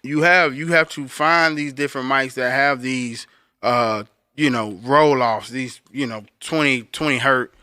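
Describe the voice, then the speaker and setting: A man with a bright, forceful voice and a clear, resonant tone talks casually as a podcast co-host. He explains things like a working engineer, straight to the listener, in a close, dry recording. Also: unhurried, dry recording. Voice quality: deep voice. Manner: conversational explainer, addressing listeners directly. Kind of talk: casual instructional talk.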